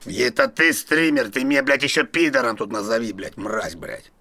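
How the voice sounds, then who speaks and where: A man in his fifties cursing and shouting orders at his teammates over game voice chat, swearing constantly and muttering insults under his breath. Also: medium pitch, lively intonation, normal speed. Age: 50s. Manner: swearing nonstop, domineering, bossing his team around. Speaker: online tank game player in voice chat. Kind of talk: abusive trash talk over team voice chat.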